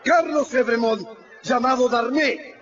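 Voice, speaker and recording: An actor playing a stern, relentless revolutionary judge in a radio drama, commanding and formal. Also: male voice, very high-pitched, measured pace, fairly bright tone, a faint crowd. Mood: accusing, forceful. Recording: dull radio recording, faint noise.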